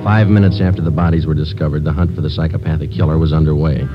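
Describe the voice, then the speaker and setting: An actor playing a terse, weary police sergeant, narrating a radio crime drama in a clipped, deadpan, matter-of-fact way. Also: male voice, rumbling low, fast talker. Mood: grave, factual. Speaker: narrator and lead detective. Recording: dull vintage radio recording with music.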